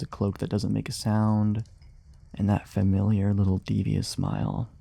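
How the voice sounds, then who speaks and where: Man acting out a low-voiced, wry monster hunter. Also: creaky, a little mellow. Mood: sly, suspicious. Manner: teasing, wry, swearing, sighing. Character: male monster hunter, gruff, wry, flirtatious bounty hunter. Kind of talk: immersive fantasy audio roleplay ASMR.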